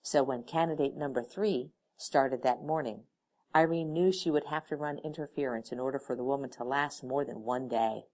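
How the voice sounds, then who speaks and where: A woman narrating a fan fiction audiobook in an expressive storytelling manner. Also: rumbling low, moderate pace, rough recording. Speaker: audiobook reader. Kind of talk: scripted narration of fan fiction, with character dialogue.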